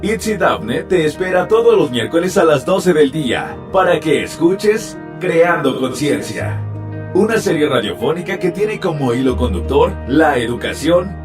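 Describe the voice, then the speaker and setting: A man reads radio promos in a smooth, measured delivery, his pitch lifting and swooping with a lively swing. He sounds set back from the mic, with strummed guitar music loud behind him. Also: far from the mic. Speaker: announcer.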